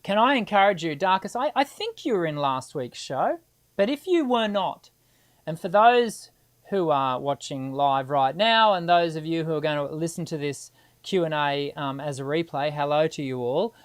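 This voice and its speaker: A woman's voice: deep voice, expressive, moderate pace. A middle-aged woman, hosting a live singing Q&A and answering viewers in a chatty, encouraging way. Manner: chatty and encouraging, many restarts and filler ums.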